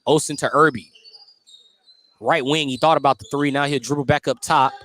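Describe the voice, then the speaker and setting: A man's voice: fairly high pitch, fairly even intonation, moderate pace, fairly bright tone, a little hiss or hum. A man doing live radio play-by-play of a basketball game, calling each play in clipped, detailed bursts. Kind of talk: live radio sports commentary. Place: indoor high school gymnasium.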